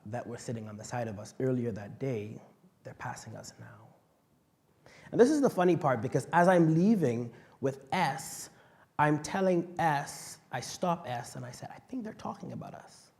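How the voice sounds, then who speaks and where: A young man from the Bahamas, telling a personal story as a panel speaker, passionate and vocal, swinging from quiet asides to loud outbursts. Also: mid-range voice. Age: young adult.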